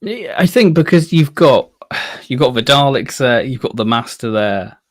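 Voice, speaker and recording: A man with an average-pitched voice talks casually as a podcast co-host. The delivery is informal fan chat, and the sound is dry with very little room. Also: expressive, normal speed, no echo.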